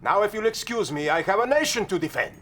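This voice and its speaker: An actor playing a proud, scornful Soviet general in a video game cutscene, haughty and sarcastic, with a Russian accent. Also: male voice, high voice, animated, quick pace, fairly bright tone, slight background noise. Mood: curt.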